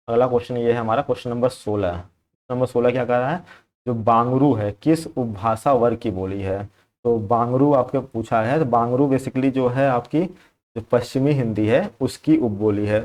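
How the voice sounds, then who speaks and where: A man's voice: mid-range voice, a little mellow. A young man teaching exam preparation, going through practice questions in a conversational way that repeats key points and keeps checking in with the viewer.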